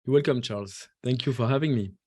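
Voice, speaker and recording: A man in his forties from France with French as his first language, a podcast guest who talks haltingly with many fillers and restarts. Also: medium pitch, darkish tone, dry recording. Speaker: guest investor. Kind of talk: podcast interview.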